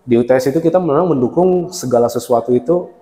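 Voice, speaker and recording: A man in his thirties, a university lecturer, talks easily and often breaks into laughter as he explains. His voice sits in a middle register and carries a clear echo with a long reverb tail, as if recorded in a large room. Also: medium pitch, fast, warm tone. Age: 30s. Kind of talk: relaxed interview talk.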